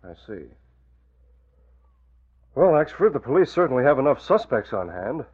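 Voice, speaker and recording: A composed, shrewd man in charge from a 1940s radio serial. He is measured and probing, with a rough-edged voice that moves widely in pitch. The recording is tinny and narrow, like an old broadcast. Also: very animated, darkish tone. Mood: thoughtful, decisive. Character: composed, shrewd male newspaper boss, secret crime fighter.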